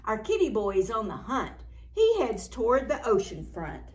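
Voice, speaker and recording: A woman storyteller reading a picture book aloud to young children in a playful, dramatic, expressive way. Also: fairly high pitch, moderate pace. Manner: animated, playful, dramatic reading with gasps and exclamations; addresses young children with simple questions.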